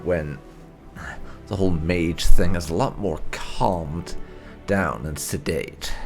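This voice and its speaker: A man's voice: deep voice, creaky. A man hosting a gaming video with casual, explanatory playthrough commentary, wandering into emphatic asides.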